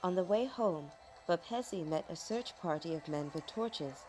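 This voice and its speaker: A woman narrating a children's folk tale from a script in a dramatic, expressive storytelling manner. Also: fairly low pitch, a little slow, soft background music.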